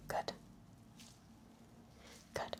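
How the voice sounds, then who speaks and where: A woman whispering gently and reassuringly in an ASMR roleplay as a nurse, close to the microphone. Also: drawn-out words.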